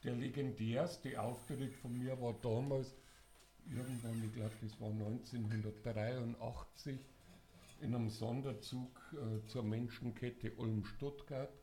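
Older singer, hoarse and dark-toned, slow halting delivery at a live event with faint background noise. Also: male voice, mid-range voice, steady tone. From Bavaria, Germany. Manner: halting, many filler sounds. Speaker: singer-songwriter introducing an event. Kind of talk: casual live talk to an audience.

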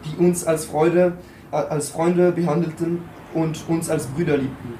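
A man's voice: on the high side, fairly even intonation, a little slow, warm tone. A man reading a text aloud in turns with another reader, now and then stumbling over a word. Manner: occasional stumbles over words. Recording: roomy with faint hiss.

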